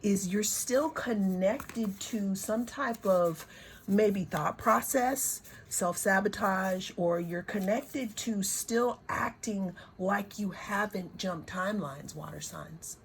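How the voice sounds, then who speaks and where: A woman giving a reflective spiritual card reading, her voice hoarse, talking in unhurried, circling phrases that often repeat words. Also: mid-range voice, slight background noise. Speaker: tarot and oracle card reader.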